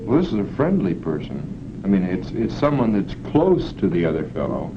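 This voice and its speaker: A man's voice: sits low, big pitch swings, moderate pace. A man talks hesitantly, feeling his way through his answers and often restarting his sentences. His voice sounds dull and muffled, with heavy hiss from an old film soundtrack. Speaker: test participant. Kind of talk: unscripted answers in an experiment.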